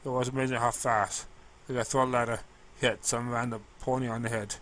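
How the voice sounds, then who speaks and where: Bright-voiced man reading a story. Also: medium pitch, level tone, brisk, slight background noise. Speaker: story narrator. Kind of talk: amateur fan fiction reading.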